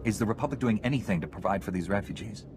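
An actor playing an earnest, principled young knight hero in a video game, speaking directly. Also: male voice, on the low side, animated, rapid-fire, lightly acted. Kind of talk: video game cutscene acting. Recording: noisy ambience behind voice.

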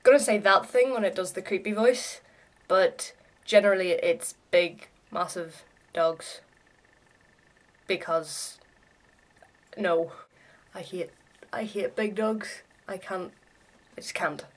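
A woman's voice: mid-range voice, slow, long pauses. A young adult woman vlogging casually in her bedroom, with an irreverent, offhand, sweary way of talking. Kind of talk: casual chatty vlog to camera. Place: small bedroom, indoors.

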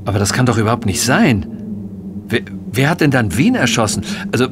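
A man's voice: medium pitch, close to an everyday voice. Man playing a blunt police inspector, noisy surroundings. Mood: baffled. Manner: matter-of-fact, dismissive, colloquial. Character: blunt, self-satisfied male police inspector. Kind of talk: German audio drama acting.

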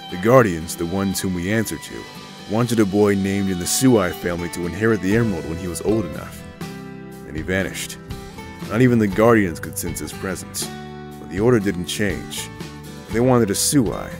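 A man acts a stoic guardian mentor in an audio drama, grave and measured. His voice is deep, and music plays clearly behind it. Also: natural voice. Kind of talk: amateur audio drama acting.

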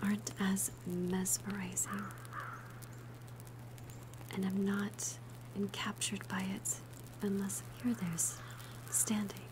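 A woman voicing a gentle, shy young female alchemist in an ASMR roleplay, softly breathy, hesitant and tender. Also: medium pitch, flat delivery, takes it slowly, pauses often, noisy background, lightly acted. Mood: tender, admiring. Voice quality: soft, breathy whisper.

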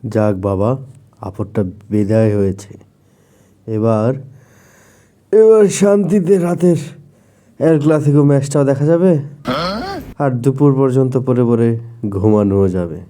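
A man's voice: mid-range voice, very animated, measured pace, long pauses, warm tone, smooth. A man playing a lazy, exasperated husband, dismissive and wary, in a comic audio drama. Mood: dismissive, smug.

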